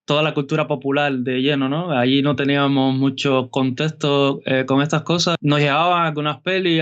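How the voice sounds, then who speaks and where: A man from Cuba with a Cuban Spanish accent, a guest illustrator answering interview questions in an unhurried way with fillers and restarts. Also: on the high side, clean tone, dull audio. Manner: unhurried, many fillers and restarts, light laughs.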